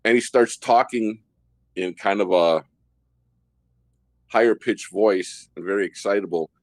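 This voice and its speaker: A man hosting a podcast, telling a detailed story about his undercover work in a steady way. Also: on the low side, a little slow, no room sound. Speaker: host, former undercover officer.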